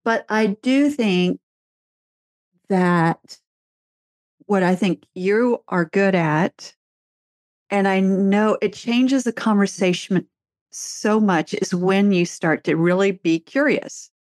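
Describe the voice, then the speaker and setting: A woman's voice: medium pitch, takes it slowly, pauses often, darkish tone, dry recording. Casting: middle-aged woman host, chatty storyteller, dry close recording. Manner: chatty storyteller, laughs easily. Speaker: podcast host, therapist and author. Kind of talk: casual conversation.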